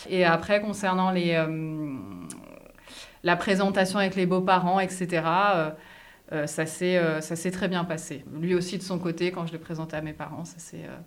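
A woman in her thirties from France answers as an interview guest. Her voice is slightly low. She talks with frequent pauses and fillers. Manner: hesitant, many fillers. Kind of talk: casual interview chat.

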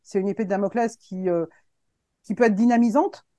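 A woman in her fifties, a guest on a podcast, speaking in a casual, earnest and encouraging way, halting often to restart her sentences. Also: mid-range voice, steady tone, mellow, no room sound. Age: 50s. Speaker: guest, web agency founder.

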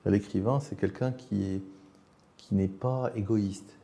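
A man's voice: lowish voice, fairly even intonation, measured pace, creaky, dark tone. A man hosting a conversational interview, explaining his thoughts reflectively and often rephrasing as he goes. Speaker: interviewer, host.

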